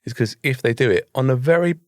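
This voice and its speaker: A man's voice: mid-range voice, quick pace, a little mellow, dry recording, clean studio sound. A British man co-hosting a podcast in casual banter, bemused and rambling as he searches for words. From United Kingdom. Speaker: podcast co-host.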